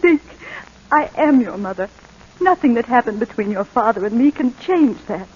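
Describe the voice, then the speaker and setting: A woman's voice: a little high, expressive, moderate pace, husky. A woman playing a tender, anguished mother in a 1940s radio drama. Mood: tender, hesitant. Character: tender, anguished mother pleading with her estranged son. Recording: muffled old 1940s radio recording.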